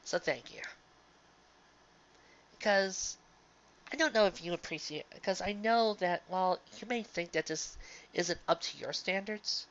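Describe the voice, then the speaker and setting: A live reader of fan fiction giving earnest commentary to an audience, halting and emphatic, with sentimental delivery and dramatic pauses, on a dull lo-fi home recording. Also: medium pitch, a bit bright.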